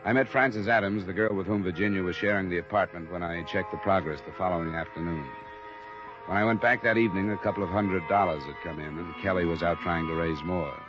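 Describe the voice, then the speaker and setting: A man's voice: low-pitched, quick pace, close to an everyday voice. A man acting a tough but fair insurance investigator and narrator in a 1950s radio detective drama, terse and matter-of-fact with an American accent. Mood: reflective narration. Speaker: lead actor and narrator. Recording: dull restored old radio recording, music.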